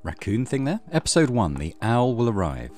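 A man's voice: mid-range voice, big pitch swings, normal speed, a little mellow, soft background music. A man hosting a let's play, reading story narration aloud with casual commentary.

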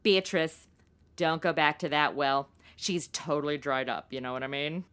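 A woman's voice: sits low, steady tone, moderate pace, fairly bright tone, clear, resonant tone. An actress playing a brash, sarcastic American woman in her twenties in a comedic audio drama, speaking casually and emphatically. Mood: argumentative. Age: 20s. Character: brash, sarcastic modern young woman, reluctant detective sidekick.

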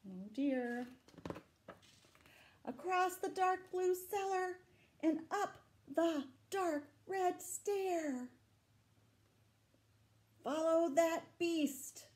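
A middle-aged woman reading a picture book aloud to children, dramatic and deliberate, adding asides and questions for her young listeners. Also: very high-pitched, a little mellow. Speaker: library storytime reader. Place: small room indoors. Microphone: camera a short distance away.

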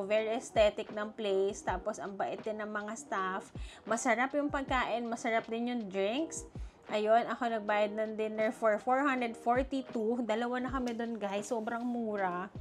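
A young adult woman from the Philippines, a travel vlogger talking through her trip budget in a chatty, animated way. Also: on the high side, unhurried, soft background music. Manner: chatty, animated, mixing Tagalog and English. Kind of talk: casual vlog chat with budget talk.

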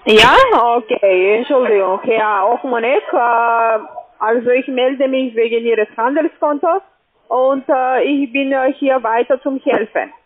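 A woman's voice: on the high side, measured pace. A woman making a sales call as an agent, hesitant, with non-native phrasing. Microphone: telephone. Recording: narrow lo-fi phone line.

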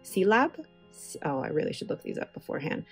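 A woman's voice: fairly low pitch, sing-song, brisk, husky, soft background music. Chatty woman narrating an ink swatch. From Filipino heritage. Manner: chatty, enthusiastic, rambling asides. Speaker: stationery channel host. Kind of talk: casual voiceover while swatching inks. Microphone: voice-over recorded separately.

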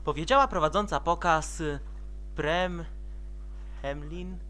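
A man's voice: on the high side, takes it slowly, bright, forceful tone. A man reading scripted story narration for an amateur comic dub.

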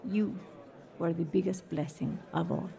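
A gentle older mother in a radio drama. Her voice is soft-edged and mellow with a gravelly creak, and she speaks with tender, reflective faith. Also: fairly low pitch, steady tone, measured pace. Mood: fond, reflective.

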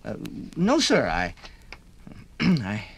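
Casting: timid young job-seeker, 1950s radio drama, stammering politely, very lively pitch. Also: male voice, extremely slow, creaky, breathy edge, exaggerated voice. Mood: nervous, eager. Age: young adult. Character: timid, nervous young farm boy seeking a job.

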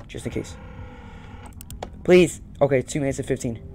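Creaky-voiced young man muttering over a game. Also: mid-range voice, moderate pace, background noise. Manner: nervous, repeats words and phrases in muttered strings. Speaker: gaming video host. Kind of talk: casual play-by-play game commentary. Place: indoor room at home.

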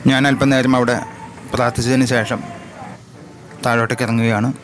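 A man with a Malayali accent narrating a travel vlog slowly and in measured, short separated phrases. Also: mid-range voice, flat delivery, background noise. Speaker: vlogger. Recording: loud music over the voice. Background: loud music.

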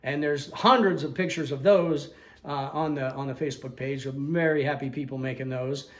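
A man's voice: medium pitch, steady tone, moderate pace. An older man, the owner of a woodworking school, chatting casually and explaining things with small jokes as he walks through a large indoor shop, recorded on a camera held by the interviewer. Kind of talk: casual shop tour talk.

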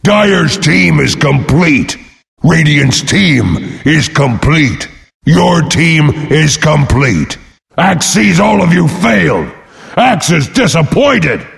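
An actor playing a brash, boastful, battle-hungry warrior brute as a pompous, bellowing video game announcer. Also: male voice, sing-song, slow pace, vocal fry, a bit bright, heavily acted. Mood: grave, disappointed. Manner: bellowing, pompous, speaks of himself in third person. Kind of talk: bombastic game announcer lines. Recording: long booming reverb.

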